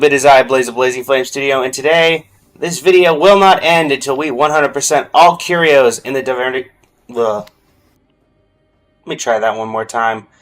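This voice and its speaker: A man doing casual, chatty livestream commentary on a video game, speaking directly to his viewers. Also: fairly high pitch. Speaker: live streamer.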